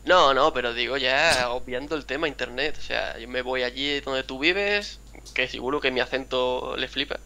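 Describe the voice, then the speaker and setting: Young male host, mild Andalusian accent, bright tone, rambling casual chat on a call. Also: medium pitch, normal speed, vocal fry. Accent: mild Andalusian Spanish. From Spain, a town near Seville. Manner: rambling, chatty, acts out quotes. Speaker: gaming channel host. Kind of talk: casual chat over a video game.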